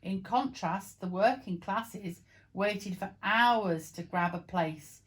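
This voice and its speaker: A woman's voice: mid-range voice, slow pace, fairly bright tone. A woman reading printed text aloud in an informal, amateur storytelling way, now and then tripping over words. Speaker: vlog co-presenter reading aloud.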